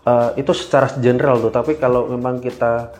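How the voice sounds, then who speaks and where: Halting young man over music. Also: mid-range voice, flat delivery, a little mellow. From Indonesia. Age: 20s. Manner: hesitant, halting delivery with frequent filled pauses. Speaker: guest cyber security engineer. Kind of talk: casual podcast interview answers.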